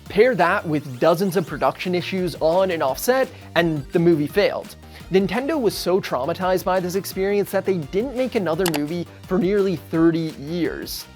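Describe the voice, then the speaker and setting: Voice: medium pitch, lively intonation, moderate pace, darkish tone. A narrator reading a scripted video essay in a casual, conversational way. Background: background music.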